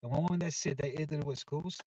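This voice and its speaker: Loud, excitable man streaming from his car. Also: fairly high pitch, fast, no echo. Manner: excitable, mixes in Italian football phrases. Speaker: live-stream football show host. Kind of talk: casual live chat answering viewers. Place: inside a parked car. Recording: dull, distant, dry car recording.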